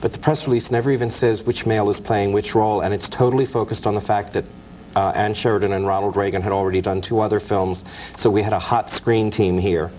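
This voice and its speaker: A man's voice: fairly low pitch, steady tone, fast talker, a little mellow, slight background noise, low-quality recording. A man speaking as an author guest, telling anecdotes in an explanatory, storytelling way. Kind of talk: anecdotal film-history interview.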